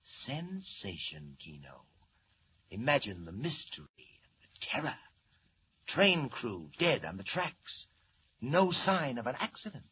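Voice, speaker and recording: Menacing criminal mastermind, swooping old-radio delivery. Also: male voice, medium pitch, huge pitch swings, unhurried, sharp tone, breathy edge, full character voice. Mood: gloating menace. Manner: theatrical, commanding, sinister. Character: cold-blooded male criminal mastermind, menacing and mocking. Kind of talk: 1940s radio acting. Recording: noisy, muffled 1940s radio recording.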